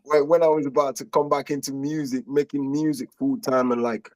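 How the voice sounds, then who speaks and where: A young man from Nigeria talks in an easygoing, slangy way, often breaking into laughter. His voice sits at a middle pitch. The sound is dry and close. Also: flat delivery, fairly quick, darkish tone, dry recording, poor audio. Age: young adult. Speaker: guest musician. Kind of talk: casual interview chat.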